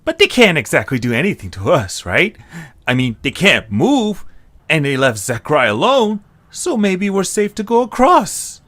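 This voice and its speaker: A man's voice: a little high, huge pitch swings, normal speed, fairly bright tone. A man playing a cautious, skeptical young cartoon creature in an amateur audio drama, with a sardonic, questioning manner. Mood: nervous, cautious.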